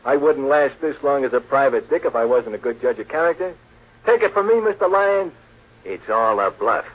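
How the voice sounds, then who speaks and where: An American actor playing a cocky, slangy, hard-boiled tough guy in 1940s radio crime drama style, with a husky voice. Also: mid-range voice, animated, normal speed, natural voice. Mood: cocky, sly. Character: cocky, slangy, hard-boiled male crook or private eye. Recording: dull old 1940s radio recording.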